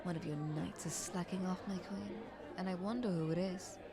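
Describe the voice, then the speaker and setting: A woman playing a scheming, flattering queen in an audio roleplay, speaking in a haughty, ornate courtly manner. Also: fairly low pitch, moderate pace, crowd noise, close to an everyday voice. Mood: insinuating, condescending. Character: visiting queen, scheming, flattering, condescending royal negotiator.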